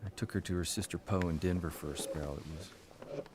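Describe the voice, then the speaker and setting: An actor playing a chatty, defensive 1930s newspaper columnist in a radio drama, with a folksy, evasive way of talking. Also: male voice, low voice, brisk, background noise, lightly acted. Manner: folksy, evasive, murmured interjections. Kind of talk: period radio drama dialogue.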